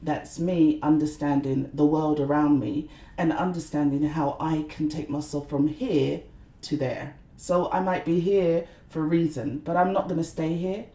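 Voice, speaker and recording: A woman's voice: deep voice, fairly even intonation, normal speed, dark tone, echoey room. A middle-aged woman, speaking earnestly as an interview guest and often saying "you know". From London, England. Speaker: guest, blogger and conference organiser. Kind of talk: reflective interview talk.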